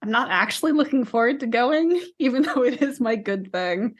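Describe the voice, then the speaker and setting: Higher-voiced woman in casual podcast chat. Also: lively intonation, normal speed. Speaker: podcast co-host.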